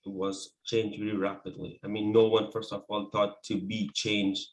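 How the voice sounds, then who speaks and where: Man, Afghan accent, native Persian speaker, fairly low voice, earnest, repeats points for emphasis, interview guest. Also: level tone, moderate pace. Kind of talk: personal account in an interview.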